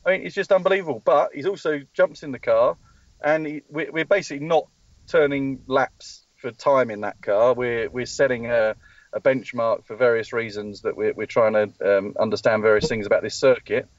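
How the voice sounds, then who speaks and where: A man calls in from a race track as a team spokesperson, rambling with plenty of ums and restarts. His voice sits in a middle pitch. The line is dull and lo-fi, with a dry sound. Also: steady tone, moderate pace, no echo. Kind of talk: live call-in interview. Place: at a race track testing session.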